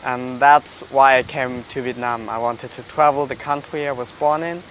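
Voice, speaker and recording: A man in his early twenties from Germany, speaking non-native English with a German accent, telling his personal story in a halting, plain way. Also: mid-range voice, steady tone, a little hiss or hum, low-quality recording. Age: early 20s. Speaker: interviewee, adoptee searching for birth family. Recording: loud music under the voice. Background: music.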